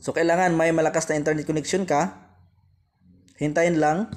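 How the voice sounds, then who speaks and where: High-voiced Filipino man giving app tutorial. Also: fairly even intonation, moderate pace. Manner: repetitive, addressing viewers directly as friends. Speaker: tutorial host. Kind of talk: step-by-step app tutorial voice-over.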